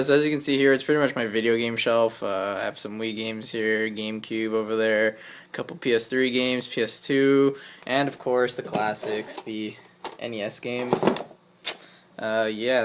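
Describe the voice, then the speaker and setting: A man's voice: mid-range voice, flat delivery, moderate pace, slight background noise. A man of twenty answering viewer questions in a casual vlog, chatty and upbeat, full of ums and likes. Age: 20s. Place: bedroom with game shelves.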